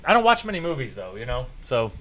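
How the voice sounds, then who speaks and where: A man's voice: medium pitch, huge pitch swings, fast talker, fairly bright tone, a little hiss or hum. A man from Florida hosting a casual podcast, telling rambling stories with many fillers and frequent laughter. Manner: rambling storyteller, laughs often, filler-heavy. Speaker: podcast host. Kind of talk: casual chat.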